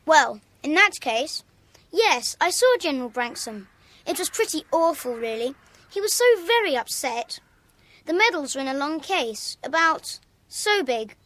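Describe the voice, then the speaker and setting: High-voiced earnest British schoolboy. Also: female voice, very animated, unhurried, a bit bright, dry recording, full character voice. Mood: earnest, upset. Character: earnest, indignant schoolboy club member. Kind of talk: children's audio dramatisation acting.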